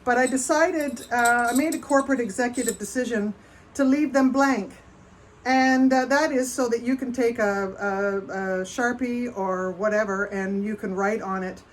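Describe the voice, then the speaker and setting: A woman's voice: fairly high pitch, moderate pace. A woman with a Canadian accent doing a casual live show-and-tell as a potter, chatty and rambling, often breaking off mid-sentence. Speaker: potter hosting a livestream. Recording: noticeable background noise.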